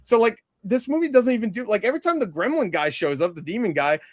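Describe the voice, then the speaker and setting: A man chatting casually as he reviews a movie, animated and quick to swear or act out bits. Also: sits high, no room sound, clean studio sound. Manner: animated, mimicking characters, swearing.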